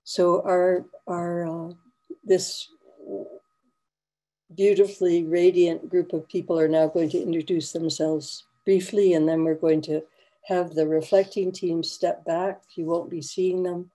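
A woman with a low, mellow voice moderates an online town hall over a video call. She talks softly and without hurry, filling gaps with gentle hums. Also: level tone, unhurried. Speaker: host.

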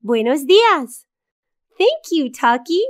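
A woman's voice: high voice, sing-song, takes it slowly, dry recording, studio quality, full character voice. A woman voicing a kind, curious little girl in a children's cartoon, asking the young audience simple questions. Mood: bright, encouraging. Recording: children's music under the voice.

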